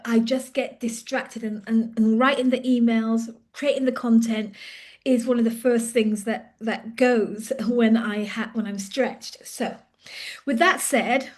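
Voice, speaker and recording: A woman's voice: fairly high pitch, darkish tone. A British woman in her late fifties, a leadership coach giving a casual, chatty update straight to her viewers, with frequent fillers and restarts. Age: 50s. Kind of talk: casual direct-to-camera update.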